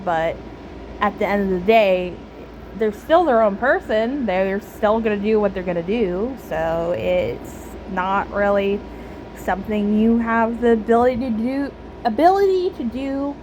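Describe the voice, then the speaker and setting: A woman giving casual, reflective game commentary, thinking aloud haltingly and often repeating words. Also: medium pitch, background noise. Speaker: game streamer. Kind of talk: casual commentary while playing a story game.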